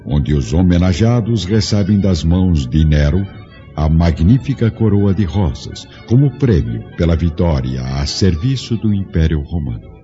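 A man giving measured, grave scripted narration for a radio drama. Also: low voice, warm tone, lo-fi sound.

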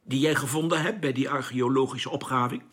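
An actor playing a commanding but doubting spaceship chief in a radio drama, with a husky voice and urgent, questioning manner. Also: male voice, medium pitch, a little fast, fairly bright tone, close to an everyday voice. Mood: puzzled.

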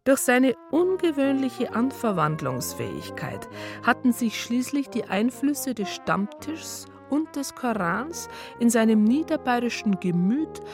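A female police psychologist narrating a crime radio play over clearly audible music. Her voice is on the high side and moves a lot in pitch. She words everything formally, like an official report, with dry wit. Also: very animated, a little slow. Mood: wry, official. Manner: formal, bureaucratic, wry. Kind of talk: scripted radio drama narration.

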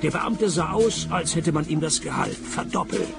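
An actor in a radio play playing a wry, world-weary private detective in a deadpan, sardonic manner. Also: male voice, fairly high pitch, normal speed, over loud music, close to an everyday voice. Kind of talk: radio play narration and dialogue.

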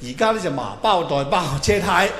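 A man's voice: sits high, lively intonation, normal speed, fairly bright tone. A man performing a stand-up monologue, telling it theatrically with mock-earnest advice. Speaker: stand-up comedian. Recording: echoey hall with long reverb, clear noise.

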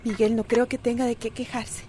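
A woman's voice: on the high side, flat delivery, a little fast, noisy background, lightly acted. An actress with a Costa Rican accent playing a wronged housewife in a radio soap opera, reproachful and hurt as she stands up for herself. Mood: weary, curt. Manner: reproachful, wounded, formal in address.

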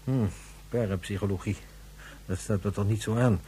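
A man acting in a radio play as an authoritative psychiatrist and director, formal, deliberate and pensive. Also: lowish voice, steady tone, warm tone, slight background noise, lightly acted. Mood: sceptical, pondering. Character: measured, authoritative male psychiatrist and director, sceptical but fair.

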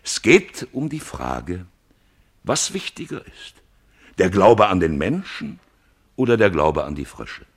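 A lofty, scheming archpriest from an old radio play. His deep, husky voice swings widely as he declaims and bursts into emphatic peaks. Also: sing-song, takes it slowly, exaggerated voice. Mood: declaiming. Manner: declaiming, rising to emphatic outbursts. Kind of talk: 1950s German radio play acting.

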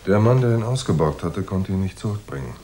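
An actor playing a hard-boiled private detective in a noir radio drama, dry, laconic and sardonic. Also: male voice, deep voice, expressive, fairly quick, warm tone, a little hiss or hum. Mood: sardonic, tough. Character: hard-boiled male private detective narrating, wry and unflappable. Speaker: narrator and lead actor.

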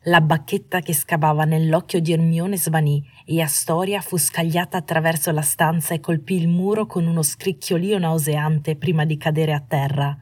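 Low, husky woman narrating fiction. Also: steady tone, moderate pace, few pauses, a little mellow, no echo. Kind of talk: scripted audiobook narration of fiction.

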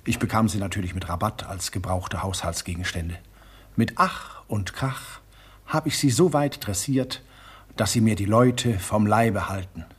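A haughty, husky art collector. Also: male voice, lowish voice. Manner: pompous, disdainful. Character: haughty misanthropic male antique collector. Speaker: actor in a radio drama. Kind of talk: German radio play acting.

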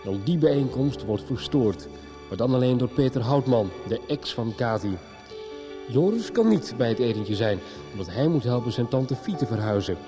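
A man's voice: medium pitch, lively intonation, normal speed. A man reading a scripted recap as narrator of a radio soap serial. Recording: music behind the voice. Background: music.

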